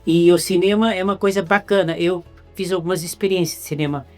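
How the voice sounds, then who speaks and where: A woman's voice: fairly low pitch, steady tone, fairly quick, a little mellow, soft background music. A woman with a Brazilian accent doing a casual, chatty interview, often repeating words as she searches for the phrase.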